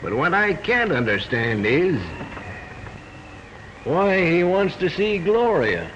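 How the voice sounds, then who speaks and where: Earnest, thoughtful guest in an old radio mystery. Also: male voice, high voice, very animated, takes it slowly, a bit bright, heavily acted. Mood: puzzled. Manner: earnest, puzzled. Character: puzzled man, earnest and thoughtful. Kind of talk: 1940s radio acting. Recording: dull old radio recording with faint hiss.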